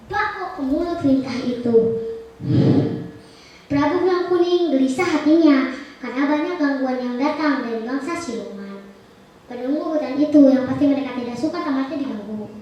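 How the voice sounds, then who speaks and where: A woman's voice: high voice, a little mellow. A young girl telling a folk tale theatrically, declaiming with dramatic emphasis. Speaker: storytelling contestant. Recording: echoey room, distant muffled mic.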